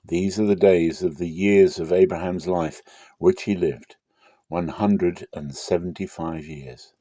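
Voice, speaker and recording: Low mellow guy doing a dramatic bible reading, measured phrasing. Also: fairly even intonation, no room sound. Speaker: Bible reader.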